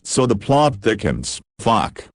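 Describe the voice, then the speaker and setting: A man playing a slow, low-voiced, domineering office boss. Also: very animated, no room sound, studio quality, full character voice. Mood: exasperated. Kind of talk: visual novel character acting.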